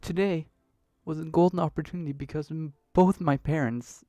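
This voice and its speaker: A young man streaming from his bedroom at home, chatting casually and rambling a bit, his voice still hoarse from being sick. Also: a little high, fairly even intonation, normal speed, warm tone, dry recording. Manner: rambling, chatty, laughs easily. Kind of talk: casual live-stream chat.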